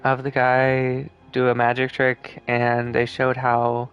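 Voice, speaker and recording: A man's voice: medium pitch, completely flat delivery, slow pace, a bit bright, clean tone, muffled recording. A man giving casual, muttered, offhand commentary while streaming a game. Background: faint game music.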